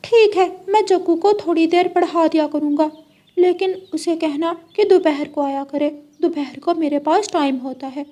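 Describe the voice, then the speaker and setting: A woman's voice: very high-pitched, moderate pace, warm tone, pitched above the performer's own voice. A woman voicing a stern but helpful adult male parrot teacher in a cartoon story, firm and instructive. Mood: agreeable.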